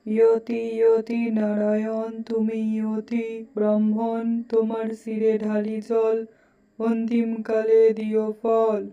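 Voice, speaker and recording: A woman chanting ritual verses in a measured monotone, in a distant, dull lo-fi recording. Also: fairly high pitch, soft background music.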